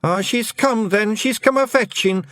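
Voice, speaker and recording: A man voicing a tiny, rowdy male fairy clan chief with a put-on Scottish brogue, boisterous and shouty. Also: high voice, unhurried, dry recording, studio quality, pitched above the performer's own voice. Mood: rowdy, pleading. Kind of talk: audiobook character voice.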